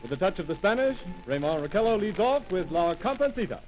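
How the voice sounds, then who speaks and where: A man's voice: sits high, very animated, fast talker, over loud music, rough recording. A man playing a dance-band announcer in a hotel ballroom in a 1930s radio drama, giving showy introductions. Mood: chipper. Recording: orchestra and crowd behind voice. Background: dance orchestra and ballroom crowd.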